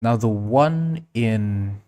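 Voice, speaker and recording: Low-voiced man casually narrating his livestream. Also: animated, drawn-out words, darkish tone, dry recording. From Indonesia. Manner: thinking aloud, reading out and answering chat. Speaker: livestream host. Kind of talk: casual livestream tutorial chat while working.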